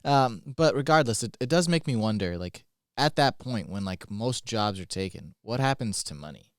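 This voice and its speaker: A quiet, soft-spoken man asking questions in a casual podcast chat. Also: on the low side, lively intonation, normal speed, no room sound, studio quality.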